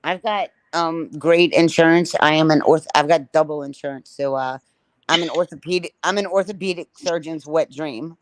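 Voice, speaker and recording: A woman hosting a livestream panel, talking bluntly and matter-of-factly in a raspy voice. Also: sits low, fairly even intonation, moderate pace. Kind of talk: casual panel chat.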